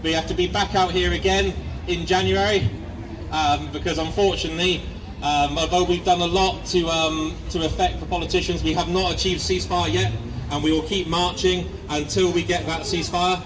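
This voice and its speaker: Man, rally speech, impassioned, hesitant fillers, high voice, distant mic, loud street noise. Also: fairly even intonation, normal speed. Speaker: protest speaker.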